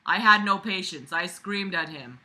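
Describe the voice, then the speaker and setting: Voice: medium pitch, animated, normal speed, pushed and bright, low-quality recording. A reader going through a book aloud with sarcastic running commentary, exasperated, blunt and prone to swearing.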